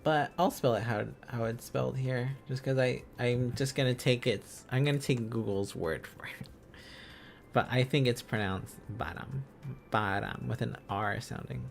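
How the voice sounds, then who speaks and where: A man's voice: medium pitch, normal speed, vocal fry, soft background music. A man streaming a casual lesson, musing aloud and repeating phrases and syllables as he works out letters and words. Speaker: streamer learning Korean script on a live stream.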